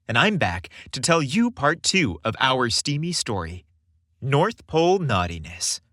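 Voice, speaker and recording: A man hosting a podcast and narrating a scripted story in a theatrical, expressive way. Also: medium pitch, unhurried, dry recording.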